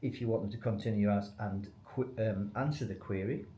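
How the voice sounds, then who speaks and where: A man's voice: on the low side, a little fast, mellow. A man speaking as a company expert in an interview, explaining business solutions conversationally. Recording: echoey room, faint background noise.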